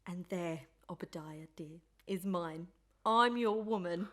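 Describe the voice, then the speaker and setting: A woman's voice: on the low side, takes it slowly, a bit bright. A woman playing a coy, eager village sweetheart in a Victorian melodrama, gushing and hesitant. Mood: delighted. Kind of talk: Victorian melodrama audio acting.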